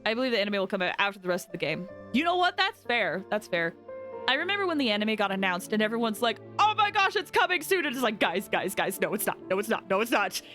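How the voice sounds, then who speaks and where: A woman's voice: mid-range voice, sing-song, quick pace, a bit bright. A young woman chatting casually and effusively as a game livestreamer, often exclaiming. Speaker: game streamer. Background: game music.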